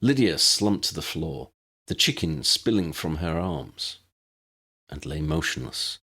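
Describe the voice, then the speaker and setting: A man's voice: rumbling low, lively intonation, a little slow, sharp tone, husky. A man reading scripted fantasy fiction as an audiobook narrator.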